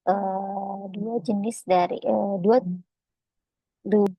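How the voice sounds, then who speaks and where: A woman with an Indonesian accent giving a lecture that explains slides, speaking hesitantly with frequent pauses and filler phrases. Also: medium pitch, fairly even intonation, no room sound, muffled recording. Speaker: accounting lecturer.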